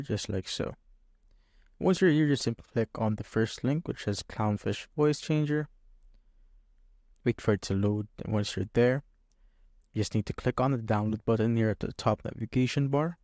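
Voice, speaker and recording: A man hosting a casual step-by-step software tutorial, speaking into a close condenser microphone. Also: mid-range voice, no echo. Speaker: tutorial host. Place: indoors at a computer. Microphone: USB condenser microphone, close.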